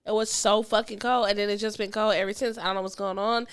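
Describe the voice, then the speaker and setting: A woman co-hosting a podcast in casual chat, congested with a cold, animated and swearing freely. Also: mid-range voice, fast talker, clean tone. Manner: animated, swears freely, restarts mid-sentence. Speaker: podcast co-host.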